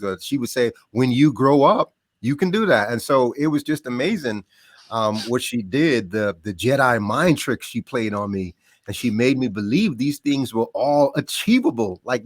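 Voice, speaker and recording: A middle-aged man from the Bahamas, a podcast guest telling stories about growing up in a lively, casual way. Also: mid-range voice, no echo. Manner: animated, laughs often, trails off into quieter asides. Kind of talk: casual storytelling.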